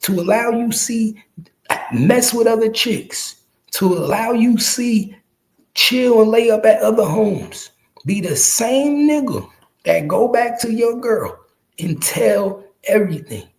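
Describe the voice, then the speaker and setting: A man's voice: high-pitched, lively intonation, slow, gravelly, darkish tone. A man in his early forties giving casual, slangy street commentary to his viewers, emphatic and profane, repeating phrases to drive them home. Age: early 40s. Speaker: podcast host.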